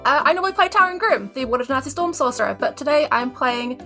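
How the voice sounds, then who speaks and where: A woman's voice: sits high, expressive, fast, pushed and bright, faint music behind. A woman with a New Zealand accent chatting casually as a player on a tabletop roleplaying podcast. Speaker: player, regular cast member. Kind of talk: casual chat.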